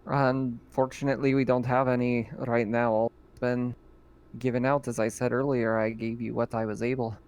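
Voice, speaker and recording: A man's voice: medium pitch, flat delivery, normal speed, darkish tone, smooth, lightly acted. A man voicing a male quartermaster who turns down a request in a matter-of-fact way. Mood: apologetic.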